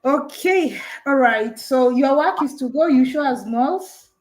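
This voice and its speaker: A woman's voice: high voice, unhurried, a little mellow. A woman hosting a live stream, chatting casually with a caller and laughing often while she unboxes a camera.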